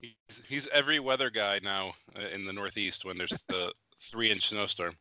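A man's voice: fairly low pitch, normal speed, pushed and bright, no echo, rough recording. A man bantering casually as a podcast co-host.

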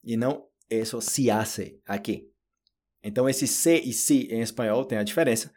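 A Brazilian man with a Brazilian Portuguese accent, giving a relaxed, chatty podcast lesson and addressing learners directly. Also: medium pitch, normal speed. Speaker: Portuguese teacher. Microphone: solo audio recording.

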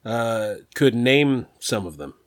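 A man's voice: medium pitch, big pitch swings, slow, no echo, clean studio sound. A man co-hosting a casual podcast chat, laughing often and quizzing the others. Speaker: podcast co-host.

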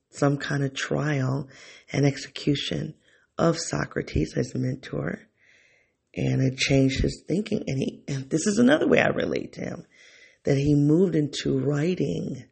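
A man's voice: fairly high pitch, vocal fry, a little mellow, breathy edge. A man thinking aloud in a reflective solo monologue, often rephrasing himself and talking straight to his listeners. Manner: talks directly to listeners, informal.

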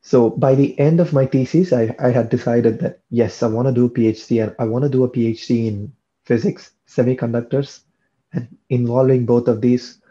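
Dark-voiced young man. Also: mid-range voice, steady tone, moderate pace, lo-fi sound. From India. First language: Tamil. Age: young adult. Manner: repeats words and restarts as he thinks. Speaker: guest, PhD student. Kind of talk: casual interview chat.

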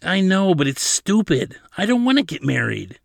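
A man's voice: medium pitch, moderate pace, dry recording, studio quality, close to an everyday voice. A man voicing a stubborn, sulky eighteen-year-old prince with petulant protests, as in an audiobook. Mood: belligerent. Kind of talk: audiobook character dialogue.

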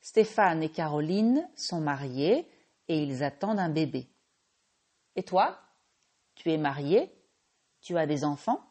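A French woman who teaches French, hosting a slow, clear language-lesson podcast and patiently correcting her own small slips. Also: low-pitched, sing-song, warm tone. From France.

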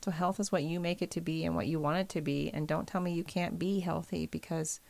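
A woman's voice: low voice, brisk, gravelly, a little mellow. A woman on a podcast, talking about health in a casual, emphatic and insistent way. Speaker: podcast co-host. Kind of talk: casual chat.

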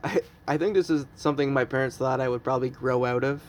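A man's voice: medium pitch, fast talker, slight background noise. A man in his early thirties, a comedian, chatting casually and rambling with self-mocking humor and frequent laughs. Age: 30s. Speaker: comedian podcast host. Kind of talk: casual chat.

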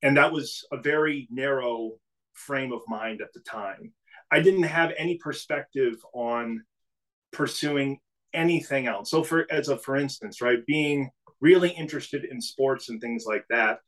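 A man's voice: medium pitch, a little slow, rough recording. Man, middle-aged, from New England, podcast guest, casual storytelling, restarts often. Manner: relaxed storytelling with frequent restarts. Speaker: podcast guest, business CEO. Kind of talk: casual interview conversation.

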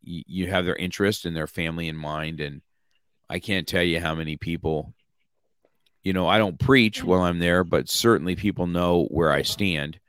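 A man's voice: low-pitched, fairly even intonation, moderate pace, a bit bright, clean tone, no room sound, clean studio sound. A man hosting an interview, talking in a rambling way with many restarts and chuckles. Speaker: podcast host. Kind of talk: casual interview chat.

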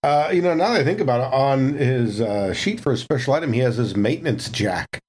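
A man's voice: mid-range voice, animated, a little fast, darkish tone, breathy edge. Man, tabletop player, chatty, wry, thinking aloud. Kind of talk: describing his character's actions.

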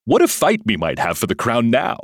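An excitable storybook unicorn, acted out by a man, sly and competitive. He exclaims eagerly in a raised voice that leaps about in pitch. The recording is dry. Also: brisk, breathy edge, dry recording. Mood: sly challenge.

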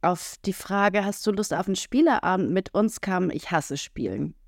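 A woman's voice: lowish voice, normal speed, creaky, a little mellow, no room sound. Frank chatty woman, dry close sound. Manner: frank, chatty, many fillers and laughs. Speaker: podcast co-host. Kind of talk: casual podcast conversation.

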